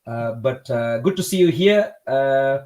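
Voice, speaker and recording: A man from India hosting a debate livestream, talking in a measured, polite way with frequent hesitations. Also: mid-range voice, lively intonation, a little mellow. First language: not Hindi. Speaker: livestream host.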